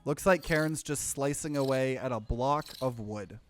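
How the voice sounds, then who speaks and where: A man's voice: medium pitch, moderate pace, soft background music. A man hosting a let's play, reading visual novel narration aloud and adding casual commentary. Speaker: gaming let's play host.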